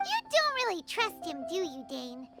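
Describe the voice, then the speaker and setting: Tiny fairy game companion: childlike, extremely high squeak, lively swoops, outspoken and inquisitive. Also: female voice, moderate pace, heavily acted. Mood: curious, suspicious. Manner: exclamatory, blunt, inquisitive. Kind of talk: video game voice acting. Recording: music behind the voice.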